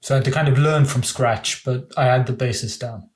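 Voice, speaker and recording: Young male guest, loose rambling storyteller, heavy on fillers, mid-pitched. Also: steady tone, brisk. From England. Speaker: podcast guest. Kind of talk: casual chat.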